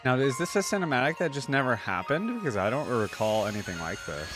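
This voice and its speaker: A man's voice: mid-range voice, big pitch swings, fast, over music. A man hosting a reaction video, giving informal, conversational commentary with rambling asides.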